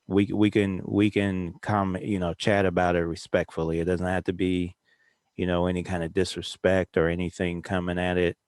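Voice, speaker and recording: A man's voice: low-pitched, clear, resonant tone, no room sound, clean studio sound. A man talking casually in a discussion, informal and conciliatory in manner.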